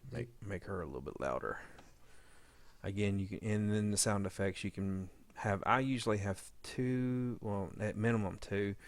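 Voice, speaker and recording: Gravelly low-voiced man talking through edits. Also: normal speed. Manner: informal, thinking aloud while working. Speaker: audio drama editor demonstrating editing. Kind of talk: casual screen-recorded editing tutorial.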